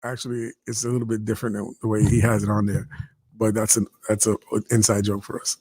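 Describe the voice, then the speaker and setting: A man's voice: fairly low pitch, flat delivery, fast talker, mellow, breathy edge, dry recording. A man in his early fifties hosting a casual livestream chat, talking to viewers and reading out their comments as he goes. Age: 50s. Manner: chatty, reads out viewer comments, often interrupts himself. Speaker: host.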